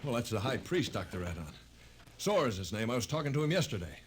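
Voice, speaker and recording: An actor playing a polite, persistent newspaper reporter in a 1950s radio drama, courteous and a little hesitant. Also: male voice, mid-range voice, big pitch swings, fast, background noise, natural voice.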